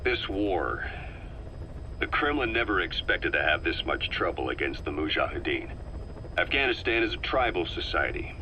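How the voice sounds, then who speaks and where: A very deep, forceful male voice of a grim, seasoned soldier in a video game, delivering a scripted briefing. He speaks gravely and deliberately, stressing key words, over a faintly noisy tape recording. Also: very animated, exaggerated voice. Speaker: military briefing narrator in a game.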